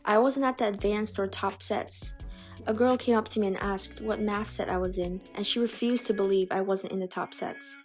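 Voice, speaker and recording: A woman reading out submitted personal stories in a calm, measured way. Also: medium pitch. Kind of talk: scripted reading. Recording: dull lo-fi audio with faint music.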